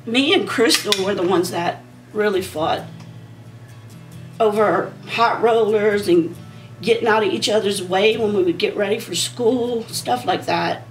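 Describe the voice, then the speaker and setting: Woman in her forties, chatty beauty vlogger, rambling and casual, slightly hoarse voice, mic set back from her, soft music underneath. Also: mid-range voice, a little slow, recorded from a distance, rough recording. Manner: rambling and conversational, talking while applying makeup. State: losing her voice from a sinus issue. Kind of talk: casual chatty makeup tutorial.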